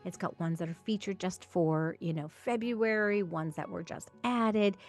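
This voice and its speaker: A woman's voice: fairly low pitch, moderate pace, darkish tone, faint music behind. Reassuring woman giving practical tutorial advice. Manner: reassuring, encouraging, emphatic. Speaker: reading tutor and host. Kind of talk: instructional talk to camera for parents.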